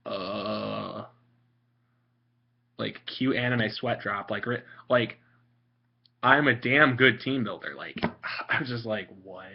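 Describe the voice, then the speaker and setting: A man's voice: vocal fry, slightly rough. Sarcastic young man, muffled recording. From Italian. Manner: sarcastic, mocking, frequent fillers. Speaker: vlogger. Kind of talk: casual rambling commentary. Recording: muffled low-fi home recording.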